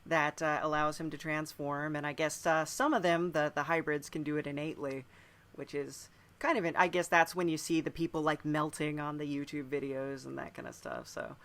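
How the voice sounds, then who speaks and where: A man's voice: a little high, little pitch movement, moderate pace, a bit bright. A man hosting a casual interview chat, speaking in a quirky, hesitant way and often trailing off. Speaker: podcast host, interviewer.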